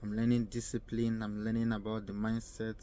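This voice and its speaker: A young Nigerian man with a low, mellow voice talks through casual advice, earnest and repetitive, often stopping to hunt for the right words. His pitch stays flat and even, with a faint hum of ambient noise behind him. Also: fairly quick. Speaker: vlogger.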